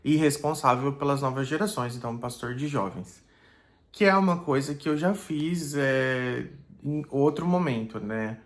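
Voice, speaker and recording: A man's voice: mid-range voice, normal speed. Pastor guy, middle-aged, easygoing chat, lots of little fillers, mid voice. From Brazil. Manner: thoughtful, many fillers like "né" and "é". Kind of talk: casual reflective vlog.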